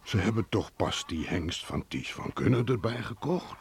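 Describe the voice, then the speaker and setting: An actor playing a mild, thoughtful old village man in a Dutch radio drama, gravelly and deliberate. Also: on the low side, lively intonation. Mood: mild, musing. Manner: slow, deliberate, ruminative. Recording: noisy archive radio play recording.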